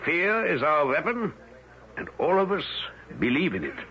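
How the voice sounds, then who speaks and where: Casting: unrepentant, menacing former Nazi elder, radio play, formal and deliberate, highly animated pitch on dull noisy tape. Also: male voice, heavily acted. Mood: cold menace. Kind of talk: 1970s radio drama acting.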